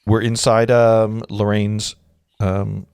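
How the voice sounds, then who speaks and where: A man's voice: lowish voice, little pitch movement, takes it slowly, vocal fry, darkish tone, smooth, no room sound, studio quality. A man in his forties reading stage directions aloud informally, tossing in his own asides. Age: 40s. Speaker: narrator of a script read-through.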